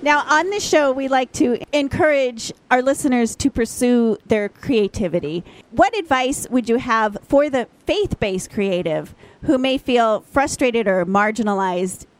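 A woman's voice: fairly high pitch, animated, a little slow. A woman hosting a radio interview in an upbeat, encouraging and polished way. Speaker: radio show host.

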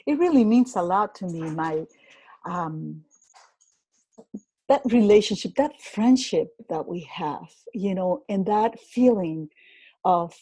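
A woman with a Venezuelan Spanish accent, a native Spanish speaker, chatting as a guest business coach in a podcast interview. She speaks in a slow, reflective way, with many fillers and repeated words. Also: medium pitch, animated, warm tone, breathy edge, no echo, rough recording. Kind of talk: casual interview conversation.

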